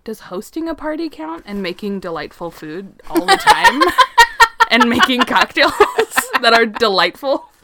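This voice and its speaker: A woman's voice: fairly high pitch, very animated, a little slow, background noise. A young adult woman co-hosting a casual podcast chat, giggly and teasing, often bursting into laughter. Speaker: podcast co-host. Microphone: podcast microphone.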